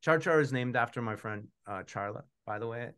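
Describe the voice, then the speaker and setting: Middle-aged man, mid pitch, casual, rambling, self-effacing, question-and-answer, video call. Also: fairly quick, fairly bright tone, smooth. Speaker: puppeteer answering audience questions. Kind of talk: casual chat. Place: indoor room at home, video call.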